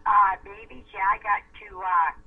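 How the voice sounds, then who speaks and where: A mature woman from Canada is heard through a narrow, tinny telephone line. Her voice sits at an average pitch, and she gives short, reserved answers in plain conversation. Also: fairly even intonation, normal speed, husky. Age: middle-aged or older. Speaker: call recipient. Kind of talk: phone conversation. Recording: tinny, band-limited phone line.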